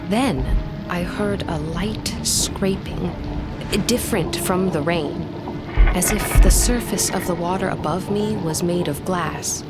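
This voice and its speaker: An actress playing a young woman who recounts a frightening vision in a gothic audio drama, fearful yet curious, in a deliberate, suspenseful way. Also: on the low side. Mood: tense, haunted. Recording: loud dramatic music under the voice.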